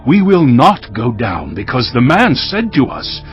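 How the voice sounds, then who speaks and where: Insistent grown son in bible drama, swooping solemn delivery, bit muffled over music. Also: male voice, medium pitch, wildly swooping pitch, measured pace. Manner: solemn, urgent persuasion. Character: earnest, insistent grown son pleading with father. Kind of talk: dramatized audio Bible acting. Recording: slightly muffled, music behind.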